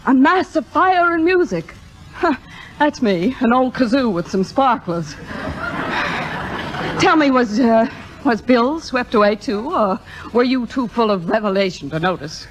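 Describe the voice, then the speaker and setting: An actress playing a proud, sharp-tongued, middle-aged stage star in theatrical 1950s radio drama style. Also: female voice, on the high side, lively intonation, a little slow, lo-fi sound. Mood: wounded sarcasm. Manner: sarcastic, theatrical, grand.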